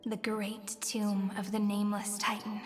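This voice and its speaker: An actress voicing a gentle, wistful young woman who tells of memories in soft, breathy, poetic lines for a video game. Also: mid-range voice, completely flat delivery, a little slow, lightly acted. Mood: solemn, tender. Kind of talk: scripted video game drama. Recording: long echoing reverb, music behind.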